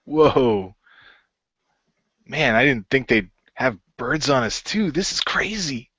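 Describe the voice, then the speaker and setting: A man's voice: medium pitch, lively intonation, takes it slowly, fairly bright tone, husky, no room sound, dull audio, pitched above the performer's own voice, heavily acted. A man voicing an easygoing hero with casual, slangy delivery in an amateur radio play.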